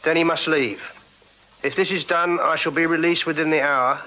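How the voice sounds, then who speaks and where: A man's voice: fairly high pitch, fairly even intonation, moderate pace, sharp tone, muffled recording. An actor playing a plain-spoken, earnest young Victorian music-hall strongman in a radio drama, straightforward and deferential. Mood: sombre reading. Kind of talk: full-cast radio drama acting.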